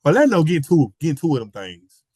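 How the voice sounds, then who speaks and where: A man with a slightly hoarse voice from a cold, talking basketball as a podcast guest in animated casual chat, repeating his points. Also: on the high side, a little fast. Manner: animated, repeats phrases, slangy. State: hoarse, getting over a cold.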